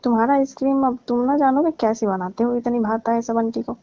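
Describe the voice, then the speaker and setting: A woman's voice: a little high, fairly even intonation, brisk, mellow, no echo, lightly acted. A woman playing a flirtatious, needy married aunty in a staged phone-call skit, pleading and complaining suggestively. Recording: dull, lo-fi call recording.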